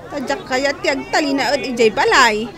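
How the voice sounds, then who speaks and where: Actress as nosy older auntie in a radio drama, highish, crowd and music behind her. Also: normal speed, natural voice. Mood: sympathetic. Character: gossipy, concerned older widowed village friend. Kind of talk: Ilocano radio drama acting.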